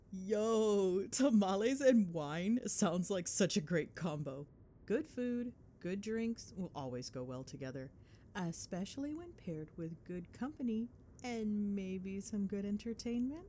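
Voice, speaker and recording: Playful, animated girlfriend acted by a woman. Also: mid-range voice. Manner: playful, chatty, expressive, mildly sweary. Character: affectionate, teasing, excitable young girlfriend on a call. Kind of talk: ASMR girlfriend roleplay, phone-call monologue.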